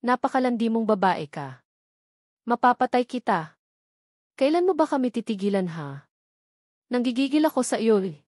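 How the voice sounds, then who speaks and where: A woman's voice: fairly high pitch, expressive, moderate pace, pauses often, a little mellow, dry recording, studio quality, pitched above the performer's own voice. A woman voicing a heartbroken, angry betrayed wife in an audiobook, emotional and accusing. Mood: furious. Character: heartbroken, furious young wife confronting betrayal.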